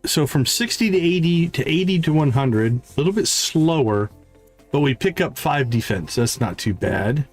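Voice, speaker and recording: A man livestreaming a game, thinking aloud in casual commentary and reading item stats in a deliberate, musing way. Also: fairly high pitch, expressive, soft background music.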